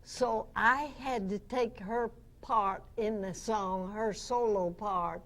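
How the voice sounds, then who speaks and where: A woman in her nineties, a veteran actress, reminiscing before a club audience in a folksy, halting, chuckling way. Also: medium pitch, steady tone, a bit bright. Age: 90s. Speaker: veteran radio actress, guest speaker. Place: club meeting room. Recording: noisy amateur meeting recording.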